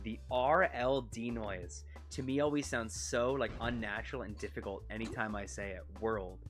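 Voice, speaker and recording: A man with a Canadian accent chatting casually on a game stream, rambling and talking quickly, seated at his desk close to a mic. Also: on the low side, faint music behind. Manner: rambling, quick-talking, self-conscious about his pronunciation. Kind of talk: casual live-stream chat over gameplay. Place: seated at his desk, leaning back in a chair. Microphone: desk mic set close to his mouth.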